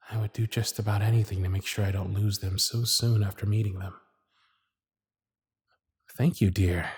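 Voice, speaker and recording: A man playing a charming, gentle circus magician who is smitten and privately anxious, speaking tenderly and softly in an ASMR romance roleplay. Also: fairly low pitch, slightly rough, no room sound, clean studio sound. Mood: hushed longing. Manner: soft-spoken, flattering, tender, with hushed asides. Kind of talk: ASMR romance roleplay with intimate inner monologue.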